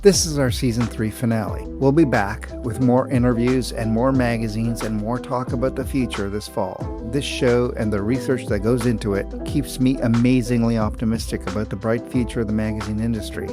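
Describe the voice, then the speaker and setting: A man's voice: mid-range voice, steady tone, moderate pace, few pauses. Male podcast host over background music. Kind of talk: scripted intro and interview questions.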